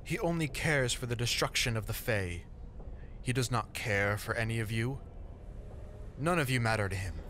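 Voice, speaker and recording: A man's voice: mid-range voice, expressive, moderate pace, a bit bright, slight background noise, voice pushed up, close to an everyday voice. A man voicing a fervent, tearful female rogue priestess preaching doom in an impassioned, pleading and sneering manner for an audio drama. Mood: fervent, accusing.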